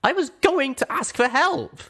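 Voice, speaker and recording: Man putting on an indignant high-pitched young mare. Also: lively intonation, measured pace, sharp tone, heavily acted. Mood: angry, bitter. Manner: harsh, indignant, emphatic delivery. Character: young female pony, angry, stubborn, wounded heroine. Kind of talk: dramatized audiobook character voice.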